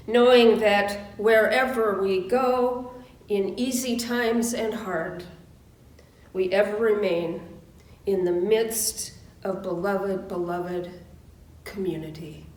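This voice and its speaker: Slow, solemn woman in a roomy space. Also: mid-range voice, a little mellow. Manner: solemn, measured, with deliberate pauses between phrases. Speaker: minister. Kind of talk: reverent spoken prayer and benediction. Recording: roomy echo.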